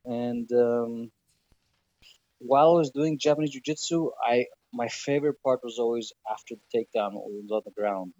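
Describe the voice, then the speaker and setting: A man from Greece, a podcast guest, talking in a relaxed way with many fillers and restarts. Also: medium pitch, normal speed, no room sound, lo-fi sound. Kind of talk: casual interview chat.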